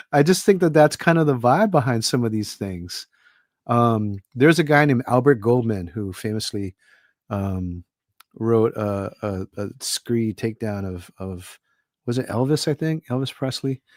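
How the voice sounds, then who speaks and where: A man's voice: mid-range voice, lively intonation, a little slow, no echo, studio quality. A middle-aged man from Hawaii, an author guesting on a podcast, talking in a thoughtful, rambling way with many fillers. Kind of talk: casual podcast conversation.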